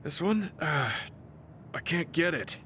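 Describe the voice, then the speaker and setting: An actor playing a steady, protective, experienced soldier in an anime game, measured and modest. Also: male voice, a little high, a little hiss or hum, exaggerated voice. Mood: steady, concerned.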